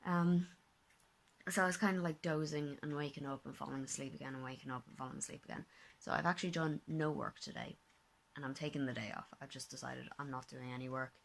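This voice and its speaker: A tired, slightly hoarse young woman talking casually to her phone camera at home, rambling, correcting herself and sometimes dropping to a whisper. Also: a bass voice, fast talker, a little hiss or hum. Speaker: vlogger, master's student. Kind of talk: casual vlog talk to camera. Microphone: handheld phone at arm's length.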